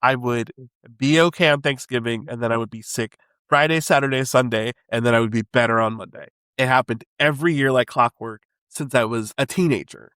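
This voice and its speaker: Husky-voiced man with a head cold. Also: medium pitch, fairly quick, a bit bright, dry recording. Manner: laid-back, rambling, laughs often. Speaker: podcast co-host. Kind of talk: casual chat.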